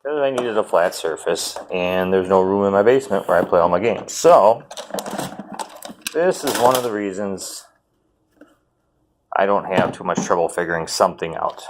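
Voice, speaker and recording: A man, chatting casually and rambling about a game haul with lots of ums and self-corrections; he says his voice runs fairly high. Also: animated, normal speed, pauses often, noisy background. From Wisconsin, US. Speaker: retro game collector vlogger.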